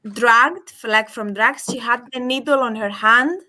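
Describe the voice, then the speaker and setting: A young woman from Cyprus, Greek her first language, speaking English in a bright, fairly high voice. She talks casually on a call, stopping and restarting often and filling gaps with "uh". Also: a little slow. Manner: hesitant, with many restarts and fillers. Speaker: caller.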